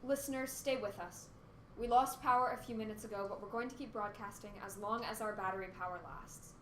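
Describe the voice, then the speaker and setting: A woman's voice: medium pitch, steady tone, brisk, lightly acted. A woman playing an anxious but determined radio host during a disaster, in a radio play. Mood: worried, steadying. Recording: roomy echo with faint noise.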